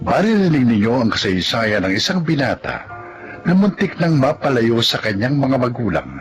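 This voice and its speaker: A man's voice: medium pitch, big pitch swings, moderate pace, muffled recording, faint music behind. A man announcing a radio drama with formal, dramatic scripted delivery.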